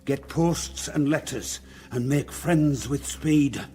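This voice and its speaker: An actor playing a grieving, enraged old earl in a Shakespearean radio drama, declaiming verse with passion. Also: male voice, a little high, warm tone, a little hiss or hum. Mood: grim resolve. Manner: declamatory, impassioned, slow verse delivery. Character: grief-stricken, enraged old earl, a sick rebel father. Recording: music behind the voice.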